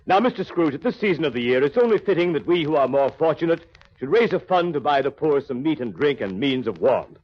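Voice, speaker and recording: An actor playing a hearty, courteous gentleman collecting for charity in 1930s radio drama, formal and earnest. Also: fairly high pitch, very animated, a little fast. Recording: dull vintage radio broadcast.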